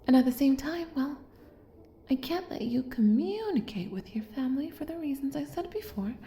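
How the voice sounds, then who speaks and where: A woman's voice: high-pitched, expressive, moderate pace, reverberant, a little hiss or hum. A woman playing an obsessive, lovestruck kidnapper in an intimate audio roleplay, doting and possessive, coaxing the listener with hesitant asides. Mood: insistent, adoring. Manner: soft, coaxing, hesitant asides, drops into whispers.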